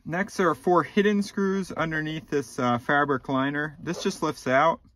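Guy in his 30s doing a diy car fix tutorial, bit higher voice, plain steady instructions, inside a car. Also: normal speed, a little hiss or hum. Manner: plain, methodical instructions with frequent ums. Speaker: DIY repair channel host. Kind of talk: step-by-step repair tutorial. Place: inside a car, small enclosed cabin.

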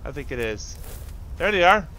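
A man's voice: fairly high pitch, big pitch swings, moderate pace, pushed and bright, slight background noise, rough recording. A man giving casual gameplay commentary as a channel host, informal and muttering his reactions to himself.

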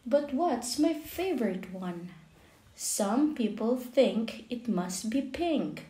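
A woman reading a picture book aloud for young children in a measured, expressive way with deliberate pauses. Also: medium pitch, reverberant, poor audio. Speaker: children's book reader, channel host.

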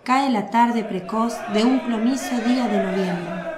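A woman's voice: on the high side, unhurried, echoey room, a crowd in the background, noisy background. Woman narrating a radio drama.

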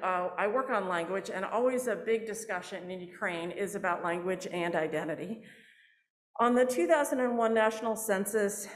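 Woman lecturing in an echoey hall. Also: medium pitch. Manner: explanatory, with fillers and restarts. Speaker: anthropology professor giving a public lecture. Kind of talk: academic presentation.

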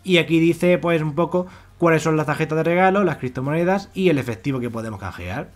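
A man's voice: on the high side, brisk. Spanish man explaining websites, wordy and repetitive. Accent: Spain. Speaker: YouTube presenter of money-making websites. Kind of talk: casual screen-share walkthrough narration. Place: voice-over on a screen recording.

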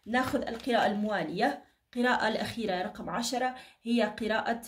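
A woman speaks at a brisk clip in an echoey room, a little away from the microphone. She reads cards informally and reassuringly, with endearments and blessings, her voice somewhat tired. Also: on the high side, distant mic, slight background noise. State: tired, strained voice. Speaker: card reader, channel host. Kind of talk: informal fortune-telling reading to camera. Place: indoor room at a table.

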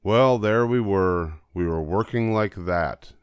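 An older man giving an expressive reading of a children's storybook, with drawn-out emphasis. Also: sits low, smooth, no echo, clean studio sound. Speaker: storybook reader.

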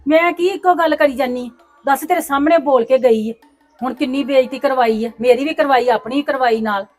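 A woman's voice: sits high, a little fast, faint music behind, natural voice. An actress playing a worried middle-aged mother who lectures her daughter in a family drama, speaking in a reproachful way.

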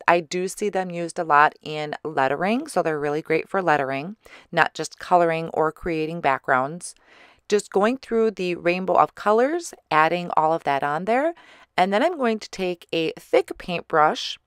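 A woman giving a chatty voice-over for a card-making tutorial, her voice slightly hoarse. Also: lowish voice, normal speed, dry recording, clean studio sound. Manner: relaxed, chatty explaining with small fillers. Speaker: card-making channel host. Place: recorded separately as voice-over.

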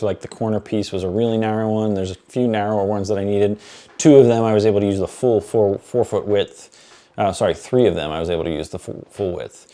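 A man's voice: fairly low pitch, dark tone. A man in his thirties talking casually to camera, explaining things in an informal, matter-of-fact vlog style. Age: 30s. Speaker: YouTube creator, host. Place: small furnished home basement studio, indoors. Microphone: clip-on lapel mic.

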